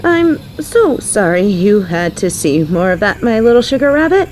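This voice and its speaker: A woman's voice: medium pitch, sing-song, normal speed, a little hiss or hum, close to an everyday voice, low-quality recording. Woman playing an unhinged, wildly swinging mafia boss lady. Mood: sweet, apologetic. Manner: theatrical, swinging from sweet cooing to manic shouting and muttering. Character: flirty, unhinged, possessive female mafia boss, manic killer. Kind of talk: roleplay audio drama acting.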